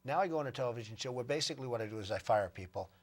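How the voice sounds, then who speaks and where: Husky, fast-talking boastful man. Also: mid-range voice, expressive. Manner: boastful, repetitive, rambling. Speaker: interview guest. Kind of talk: casual TV interview chat.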